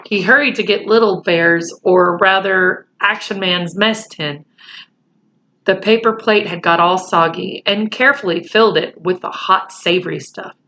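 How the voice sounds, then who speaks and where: Brief: woman storyteller, slow and expressive, bright tone, slightly muffled recording. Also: mid-range voice, a little hiss or hum. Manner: chatty asides, expressive reading. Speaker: storyteller, teacher. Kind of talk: reading a children's book aloud with comments.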